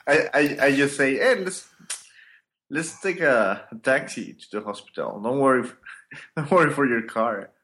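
A man's voice: mid-range voice, lively intonation, normal speed, fairly bright tone. A man playing a tabletop game, speaking cautiously and hesitantly. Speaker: player. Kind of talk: casual tabletop play.

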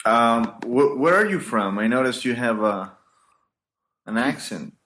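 A man playing a tabletop game, speaking slowly and hesitantly. He sounds careful and cautious. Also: mid-range voice, expressive, fairly bright tone, slight background noise. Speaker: player. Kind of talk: casual tabletop play.

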